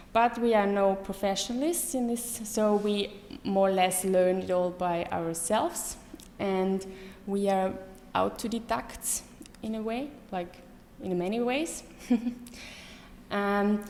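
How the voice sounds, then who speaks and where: A woman in her thirties with a German accent, giving a live lecture to an audience, pausing often with many ums and restarts. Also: mid-range voice, darkish tone. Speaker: artist giving a talk. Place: lecture hall. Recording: echoey hall with faint room noise.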